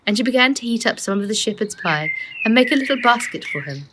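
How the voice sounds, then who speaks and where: A woman author reading her children's storybook aloud as an expressive narrator. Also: fairly high pitch, quick pace. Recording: faint noise and clicks.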